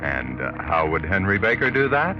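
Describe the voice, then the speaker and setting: An actor playing a sinister, sardonic mystery narrator, speaking deliberately and tauntingly in 1940s radio style. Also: male voice, low-pitched, big pitch swings, music in the background. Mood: ominous, taunting. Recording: muffled vintage radio recording.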